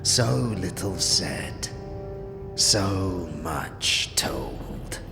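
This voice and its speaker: A man playing a sly, grasping, crippled old village lord in a fantasy audio drama, mumbling and wheedling with a creaky voice and archaic turns of phrase. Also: low-pitched, extremely slow. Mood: sly, bargaining. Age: older. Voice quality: creaky, mumbling, breathy in places. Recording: long reverb, music underneath. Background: music.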